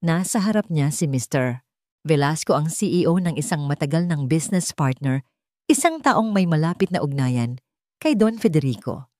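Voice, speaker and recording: A woman with a Filipino accent narrating a scripted dramatic story in a measured storytelling delivery. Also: sits low, lively intonation, darkish tone, dry recording, studio quality.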